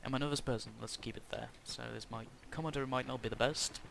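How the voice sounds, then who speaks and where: A man from Norway, talking in a halting, self-conscious way as he gives casual commentary over a video game. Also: mid-range voice, fairly even intonation, gravelly. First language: Norwegian. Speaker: gaming channel host. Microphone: cheap headset mic. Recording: cheap headset mic with loud background noise.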